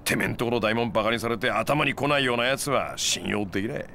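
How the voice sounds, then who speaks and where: A smug moneylender in his thirties from a crime game. He talks at an everyday male pitch in a glib, casual, needling way, with faint noise behind him. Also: fast talker, creaky, bright, forceful tone. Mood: casual, approving. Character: smug, laid-back moneylender goading young gangsters. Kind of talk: video game cutscene acting.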